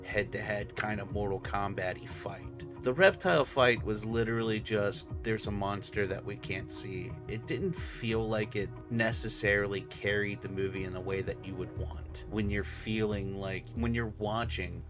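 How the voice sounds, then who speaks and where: A man delivers casual review commentary in a somewhat low voice. He recaps as he goes, slipping in dry, sardonic remarks. Faint music plays behind him. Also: moderate pace, few pauses. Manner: sardonic, wry asides. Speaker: movie reviewer.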